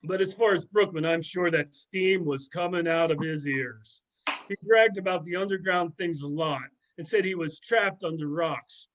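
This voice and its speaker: A man's voice: on the high side, moderate pace, fairly bright tone, lightly acted, rough recording. A man playing a sardonic, gossipy middle-aged former student in an amateur readers theater drama. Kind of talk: amateur readers theater, conversational script reading.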